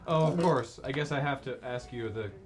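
A man's voice: medium pitch, moderate pace. A man hosting a live charity stream, chatting casually with a guest, stammering through restarts and laughing easily. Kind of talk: casual chat. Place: crowded studio room, indoors.

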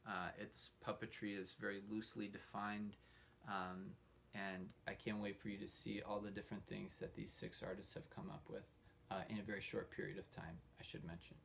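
Male host, fry-heavy voice on the low side, halting informal delivery, slightly noisy room recording. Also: flat delivery. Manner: halting, pausing often mid-sentence. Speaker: host introducing a puppetry showcase. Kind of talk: informal spoken introduction to a livestream. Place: indoor room hung with fabric backdrops.

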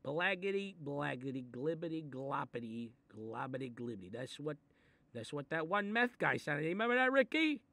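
A man playing a gruff, sarcastic Italian-American mob boss in a comic monologue, exasperated and muttering threats as he reads a picture book aloud. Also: on the high side, very animated, normal speed, pushed and bright, no echo, heavily acted. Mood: grudging, exasperated, then agitated, nostalgic. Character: short-tempered, sarcastic Italian mob boss babysitting a kid. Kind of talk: comic improvised character monologue.

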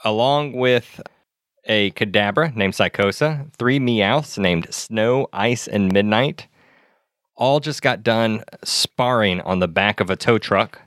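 Brief: male dungeon master, low voice, relaxed and measured, dry close mic. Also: dry recording. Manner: easygoing, measured, slows down when narrating. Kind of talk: casual chat and game narration.

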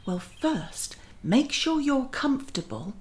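A woman playing a gentle, kindly magical garden auntie who leads a children's guided meditation in a soothing, often near-whispered voice. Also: high voice, very animated, takes it slowly, slight background noise, heavily acted. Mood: gentle, inviting. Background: music.

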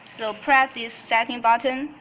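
Higher-pitched woman giving a halting product demo. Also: steady tone, a bit bright, slight background noise. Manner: halting, repeats phrases while explaining in non-native English. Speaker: company product demonstrator. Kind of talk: product tutorial, semi-scripted demonstration. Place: indoors at a desk.

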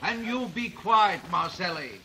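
Stern judge, shouting high. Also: male voice, lively intonation, a little slow, exaggerated voice. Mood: stern, commanding. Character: stern judge commanding an unruly courtroom. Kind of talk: 1950s radio drama acting. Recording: very noisy radio recording, loud crowd.

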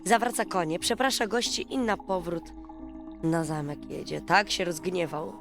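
A woman storyteller reading a bedtime fairy tale in an expressive, dramatic, folksy way. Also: on the low side, normal speed. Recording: music behind the voice. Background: music.

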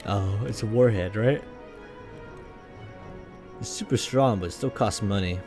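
A man's voice: on the low side, measured pace. A man giving casual commentary as he plays through a video game. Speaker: let's play streamer. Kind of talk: reading a visual novel aloud and casual gameplay commentary. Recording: game music behind the voice. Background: game music.

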